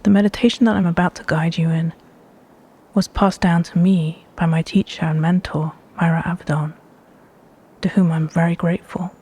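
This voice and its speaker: A woman with a hushed, breathy voice guiding a meditation in measured, deliberate phrases with pauses between them. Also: on the low side, level tone. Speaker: meditation guide. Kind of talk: slow guided meditation narration.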